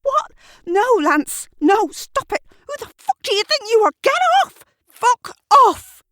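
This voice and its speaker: A woman voicing a small, shaken, defensive woman in an audio drama, strained, halting and pleading. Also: very high voice, very animated, bright, forceful tone, pitched above the performer's own voice, exaggerated voice. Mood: panicked, furious.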